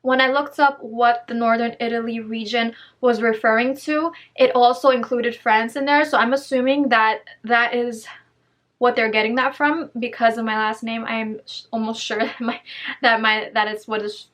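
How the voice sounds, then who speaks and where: A woman's voice: a little high, fairly even intonation, normal speed, a bit bright. Chatty upbeat young woman vlogger. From Puerto Rico. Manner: chatty, upbeat, with filler words and self-corrections. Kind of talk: casual vlog.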